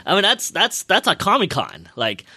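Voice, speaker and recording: A man's voice: high voice, huge pitch swings, normal speed, bright, forceful tone, breathy edge, no echo. A man chatting casually as a podcast co-host, enthusiastically recounting an experience in a rambling way, often repeating his words.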